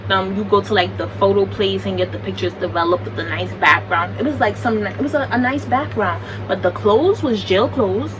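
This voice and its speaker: A young American woman telling a story in a chatty, animated, slangy way. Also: medium pitch, brisk, few pauses. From United States. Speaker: vlogger. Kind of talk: casual storytime. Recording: distant mic with background noise.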